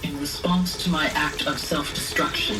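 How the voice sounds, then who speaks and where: A woman playing a calm, caring artificial intelligence in a video game, speaking in a formal, measured and grave way. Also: low-pitched, lightly acted. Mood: urgent, grave. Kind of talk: scripted video game voice acting. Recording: echoey, distant, under loud music.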